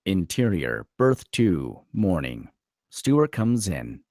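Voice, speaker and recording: A man with an extremely deep voice narrates screenplay stage directions. His delivery is dramatic, with pitch that swings widely, and the sound is dry and close. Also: big pitch swings, takes it slowly, dark tone, no room sound.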